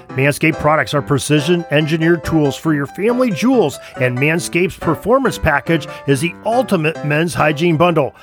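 A man delivering scripted promotional narration as an announcer. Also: a little high.

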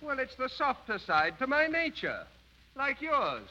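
A flippant cavalry lieutenant in a vintage radio play. His voice is bright and swoops dramatically, with a drawn-out, mischievous delivery. The recording is dull and old. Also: very high voice, huge pitch swings, soft background music, heavily acted. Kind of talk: 1930s radio drama acting.

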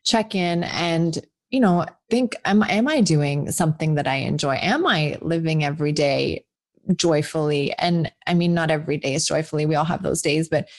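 A woman in her forties talks as a guest author in a relaxed interview. Her voice sits a little low, and she rambles cheerfully, circling back with restarts and fillers. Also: normal speed. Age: 40s. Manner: chatty, rambling with many restarts and likes. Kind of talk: casual podcast interview.

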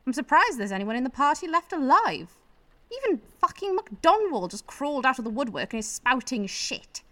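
A woman's voice: high voice, very animated, moderate pace, fairly bright tone, dry recording. A woman acting in a radio play as a bitter, scheming politician's wife, sardonic, exasperated and foul-mouthed.

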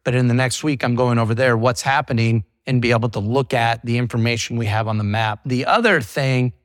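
A man's voice: mid-range voice, fairly even intonation, brisk, dry recording, clean studio sound. A middle-aged man, a company CEO, talking through his business in a casual interview. He is chatty, leans on "you know" fillers, and acts out what his clients say. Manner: quotes clients in an acted voice.

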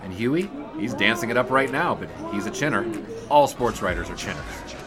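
An actor as a wry, pedantic young sportswriter. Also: male voice, medium pitch, expressive, music in the background, natural voice. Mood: dryly pedantic. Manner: measured, wordy, dryly pedantic. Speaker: actor in an audio drama. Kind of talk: period radio drama dialogue.

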